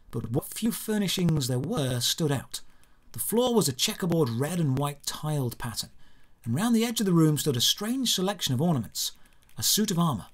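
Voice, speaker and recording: Expressive, slightly husky male fantasy storyteller. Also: on the high side. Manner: measured, descriptive storytelling with dramatic phrasing. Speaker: narrator. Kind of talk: first-person scripted fantasy audio drama narration.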